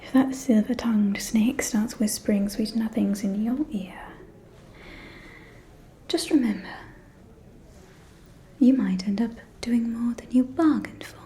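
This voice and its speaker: Woman as a whispering, gossipy vampire socialite. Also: fairly high pitch. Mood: conspiratorial. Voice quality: soft, breathy whisper. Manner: conspiratorial, teasing, drawn-out delivery. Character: gossipy, catty aristocratic female vampire socialite at a ball. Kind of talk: whispered ASMR roleplay.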